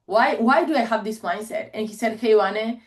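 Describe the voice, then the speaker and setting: A woman's voice: fairly high pitch, moderate pace. Chatty woman in her forties presenting. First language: Spanish. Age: 40s. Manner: earnest, chatty storytelling with frequent laughs. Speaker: guest speaker. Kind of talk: presentation to an online meeting.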